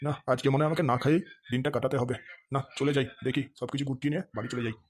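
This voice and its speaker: A man's voice: mid-range voice, steady tone, rapid-fire, very noisy, lightly acted. A man voicing a hard-up fish seller in a comedy cartoon who broods about money and snaps crudely. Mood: brooding. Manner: brooding, then crude and snappy. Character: hard-up male fish seller, gloomy and short-tempered. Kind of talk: Bengali comedy cartoon dialogue.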